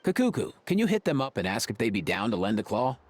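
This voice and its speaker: An actor playing a casual, excitable, good-natured young adventurer in a video game, expressive and slangy. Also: male voice, medium pitch, fast talker, a little hiss or hum, close to an everyday voice. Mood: confident.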